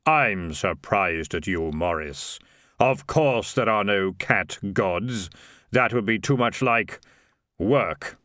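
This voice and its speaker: A man voicing an unhurried, superior god in a storybook, slow and patronising. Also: lowish voice, lively intonation, a bit bright, no room sound, clean studio sound. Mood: dry reproof.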